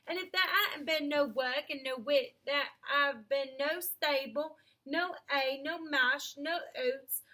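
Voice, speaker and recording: Storybook cabby, a woman playing him: friendly, blunt working man, homely speech, forceful bright delivery. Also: sits high, slow pace, hardly any pauses, heavily acted. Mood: defensive. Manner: colloquial, hearty, emphatic. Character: plain-spoken, friendly working-class male London cab driver.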